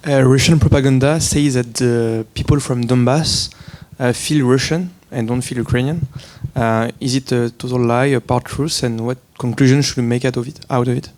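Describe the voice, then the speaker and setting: A man's voice: medium pitch, steady tone, moderate pace, few pauses, darkish tone. A man from the audience asking a direct question.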